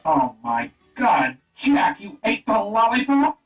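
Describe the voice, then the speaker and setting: An actor playing an earnest, exasperated police sergeant in a comic radio play. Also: male voice, high-pitched, wildly swooping pitch, slow, faint music behind, exaggerated voice. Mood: alarmed.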